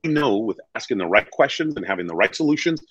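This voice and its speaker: A man talking conversationally as an interview guest, earnest and emphatic, telling stories and acting out dialogue in a deep voice. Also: very animated, fast talker, fairly bright tone, breathy edge, no room sound, highs cut off. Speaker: real estate agent being interviewed as a guest.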